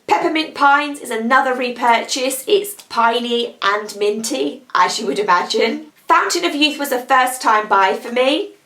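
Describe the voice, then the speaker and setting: A young British woman with a croaky voice from a cold, chatting casually as she reviews beauty products she has used up. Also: on the high side, animated, normal speed, hardly any pauses, a bit bright, echoey room. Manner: chatty, rambling, upbeat. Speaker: beauty vlogger.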